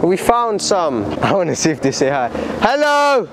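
A man's voice: high voice, sing-song, normal speed. A young man, bantering and teasing strangers outdoors in a prank vlog, laughing often. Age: young adult. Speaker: vlogger. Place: outdoors on a busy city street. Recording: loud street noise.

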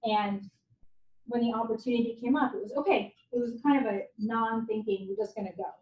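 A woman's voice: a little high, fairly even intonation, brisk. A woman in her forties, a native Japanese speaker, giving a candid, conversational guest lecture at a university. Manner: candid, conversational, self-deprecating. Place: lecture hall. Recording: echoey hall, dull lo-fi sound.

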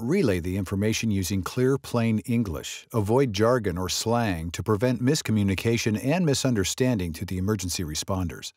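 A man reading scripted narration for a training video in a measured, instructional way. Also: lowish voice, darkish tone, no echo, clean studio sound.

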